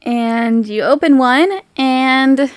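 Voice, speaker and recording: A woman with a high-pitched voice runs a tabletop roleplay. She narrates and improvises in a casual, chatty way, recorded dry and close. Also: drawn-out words, dry recording. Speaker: game master.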